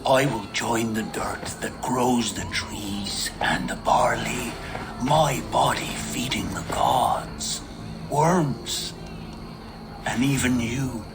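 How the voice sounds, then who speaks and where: Very slow, tender doomed husband, a man acting. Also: medium pitch, music in the background, lightly acted. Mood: tender, resigned. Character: tender, resigned husband facing sacrifice. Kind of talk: video game cutscene acting.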